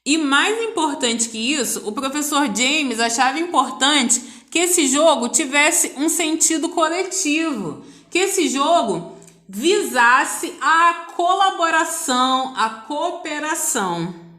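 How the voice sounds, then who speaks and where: A Brazilian woman teaches a school lesson in a high-pitched voice. She speaks slowly and didactically, restating key points for her students. The sound is echoey and roomy. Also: lively intonation, sharp tone. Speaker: physical education teacher.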